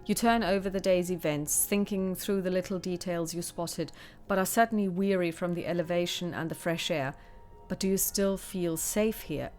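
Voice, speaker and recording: A woman's voice: on the low side, fairly even intonation, moderate pace, few pauses, darkish tone, faint music behind. A woman reading a solo horror adventure aloud and talking through her choices. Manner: reads passages steadily, then chats about her choices. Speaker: solo tabletop game player. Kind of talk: reading a gamebook aloud with asides.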